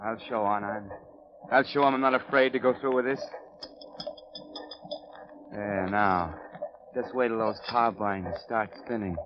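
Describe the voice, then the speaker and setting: A man's voice: lowish voice, steady tone, unhurried, pauses often, noisy background, natural voice. An actor playing a nervous, greedy male saboteur, edgy and pushy, in a 1930s radio drama. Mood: muttering. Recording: dull old radio recording.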